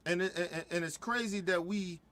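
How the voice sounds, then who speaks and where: A man's voice: sits high, moderate pace, a bit bright. A middle-aged man giving heated, profane reaction commentary, repeating himself and restarting his sentences as he goes. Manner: heated, repetitive, stumbling restarts.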